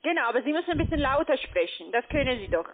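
A woman with a foreign accent giving a phone sales pitch as a call center agent, pushy and blunt. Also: big pitch swings, very fast. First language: not German. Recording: tinny narrow phone line.

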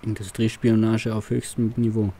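A man grumbles and mutters his way through a farming roleplay. His voice is low and mellow, and a faint hiss runs under it. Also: level tone, normal speed. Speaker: farmer roleplayer, let's player. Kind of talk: roleplay commentary.

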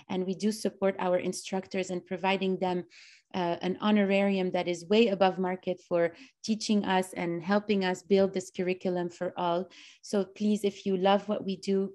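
A woman's voice: mid-range voice, darkish tone. A woman in her thirties hosting a casual online talk over a video call, eager and full of fillers. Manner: enthusiastic, many fillers. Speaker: host. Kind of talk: casual interview chat. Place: small room indoors.

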